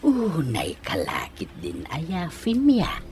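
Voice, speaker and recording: A man's voice: on the high side, wildly swooping pitch, takes it slowly, background noise, full character voice. A man playing a vain, giggly, doting middle-aged Ilocano father and husband in a comedic radio drama. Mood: doting, flattered. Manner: exaggerated, drawling, prone to gasps and giggles.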